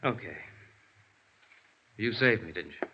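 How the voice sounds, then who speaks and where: A bitter, beaten young American embezzler in an old radio drama. His voice is lowish, sullen and curt. The recording is muffled, with faint noise. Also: expressive, slow pace. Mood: sullen, defeated. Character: bitter, defeated male embezzler, sullen and defensive. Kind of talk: vintage radio drama acting.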